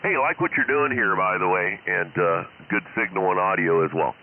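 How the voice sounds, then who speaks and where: A middle-aged American man talks casually over ham radio in a low voice. The transmission is phone-like and narrow, with a light hiss behind it. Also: lively intonation, fairly quick. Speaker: amateur radio operator calling in.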